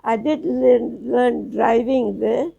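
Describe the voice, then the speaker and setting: A woman in her nineties from South Africa, reminiscing slowly as an interview guest, with halting restarts. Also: fairly high pitch, steady tone, mellow, lo-fi sound. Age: 90s. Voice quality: gravelly, husky. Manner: slow, halting storytelling with restarts.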